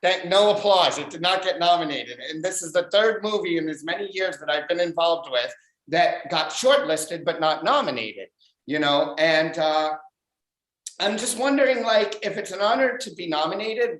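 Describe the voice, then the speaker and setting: A man delivers dry, deadpan one-liners in a stand-up set. His voice sits a little high. The sound is an echoey room heard through a video call. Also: normal speed. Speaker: stand-up comic. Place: abroad in a home room.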